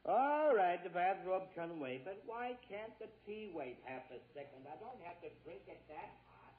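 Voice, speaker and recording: A man's voice: high-pitched, lively intonation, normal speed. A man playing a henpecked middle-aged father in 1940s radio comedy, exasperated and muttering to himself. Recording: tinny, noisy 1940s radio broadcast.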